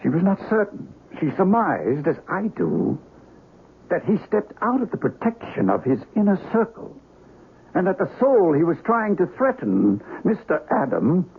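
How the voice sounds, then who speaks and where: A man's voice: high-pitched, huge pitch swings, normal speed, gravelly, husky, exaggerated voice. A man playing a grave doctor of the occult in a radio horror drama, speaking theatrically and solemnly. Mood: grave, ominous. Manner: grave, theatrical, archaic incantations. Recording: noisy, tinny old radio broadcast.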